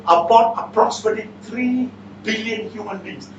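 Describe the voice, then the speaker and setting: A man's voice: sits high, moderate pace. Malaysian or singaporean guy doing a seminar, keeps firing questions at people, muffled roomy recording with faint noise. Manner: blunt, interactive, repeatedly challenges listeners with questions. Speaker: seminar speaker. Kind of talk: motivational seminar talk, quizzing the audience. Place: indoor seminar room. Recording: echoey room, distant mic, muffled, faint noise.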